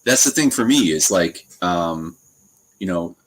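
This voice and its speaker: A man in his thirties in casual, reflective conversation, thinking aloud in a halting way. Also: low voice, animated, slight background noise. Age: 30s.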